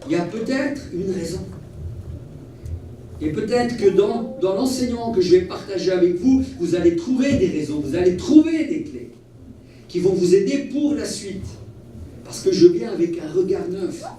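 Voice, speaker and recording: A man preaching as a travelling evangelist, telling personal stories in an earnest, animated way and repeating phrases for emphasis. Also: high-pitched, moderate pace. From Portuguese family origin. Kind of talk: sermon with personal storytelling. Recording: echoey hall, distant mic, faint noise.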